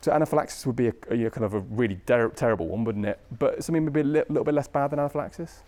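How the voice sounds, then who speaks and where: A man's voice: medium pitch, racing, darkish tone. A British man teaching medicine in an informal lecture, speaking conversationally with colloquial asides and tag questions. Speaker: medical lecturer, anaesthesia registrar.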